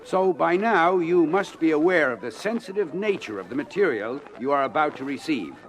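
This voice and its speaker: A man's voice: a little high, animated, natural voice, rough recording. Stern, emphatic defense minister played by a man. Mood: stern, grave. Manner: formal, emphatic, measured oratory. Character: stern authoritative male defense minister of alien planet. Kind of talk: audio adventure record acting, military briefing speech.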